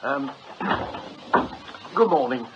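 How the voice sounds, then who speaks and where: An actor playing a middle-aged security officer who has been made redundant, hesitant, polite and stammering. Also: male voice, medium pitch, lively intonation, drawn-out words. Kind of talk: radio drama acting. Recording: dull, hissy radio drama recording.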